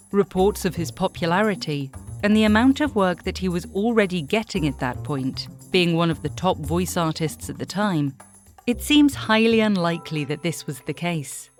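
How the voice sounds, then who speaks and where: Lowish-voiced woman narrating a documentary. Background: faint music.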